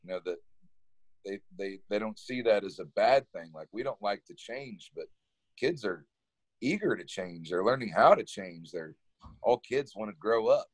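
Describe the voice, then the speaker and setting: A man from Kansas in his thirties with a deep voice, telling his story in a relaxed, casual way during an interview over a video call. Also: normal speed, no room sound. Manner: relaxed storytelling, laughs often, repeats words when starting. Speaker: environmental educator guest. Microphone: webcam on a video call.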